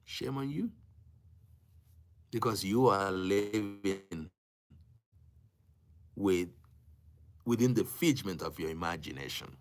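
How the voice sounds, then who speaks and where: Deep-voiced Nigerian man, emphatic and preaching. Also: slight background noise. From Africa. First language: Igbo. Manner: emphatic, preaching, with repeated phrases and deliberate pauses. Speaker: language teacher hosting a live class. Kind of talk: impassioned exhortation to live-stream viewers.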